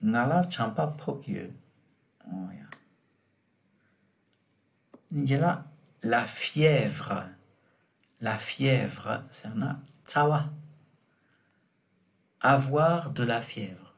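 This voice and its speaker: Man, French teacher, very slow, gravelly voice, repeats each word carefully, vocabulary lesson. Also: medium pitch, animated. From Tibet. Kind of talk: vocabulary lesson explained in Tibetan.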